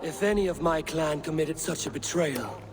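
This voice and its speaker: A man voices a fearless Viking clan leader who speaks steadily, formally and with measure. His voice sits slightly high for a man. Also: normal speed, in a large hall, music in the background, close to an everyday voice. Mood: steady. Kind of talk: video game cutscene acting.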